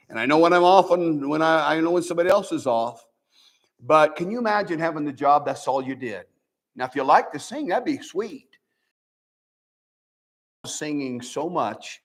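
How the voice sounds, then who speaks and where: An older man from the American Midwest with a nasal voice, preaching a sermon as pastor in a folksy, animated way with many restarts. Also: fairly high pitch. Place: church.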